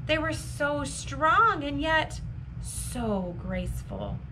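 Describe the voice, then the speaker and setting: A woman's voice: high-pitched, wildly swooping pitch, slow, background noise, pitched above the performer's own voice, exaggerated voice. A woman voicing young mermaid princesses in a storybook reading, with a wistful, wondering manner. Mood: awed. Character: young mermaid princesses, eager, dreamy sisters. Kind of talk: storybook character voices.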